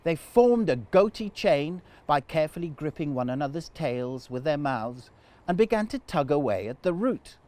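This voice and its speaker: Man, fairly high voice, very lively intonation, children's storytime narrator. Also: moderate pace.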